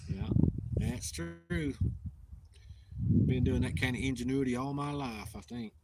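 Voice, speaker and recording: A man from Tennessee with a Southern accent, hosting a live outdoors stream in a halting, rambling, self-deprecating way with lots of restarts. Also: mid-range voice, creaky, a little mellow, a little hiss or hum, low-quality recording. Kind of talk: casual live-stream chat.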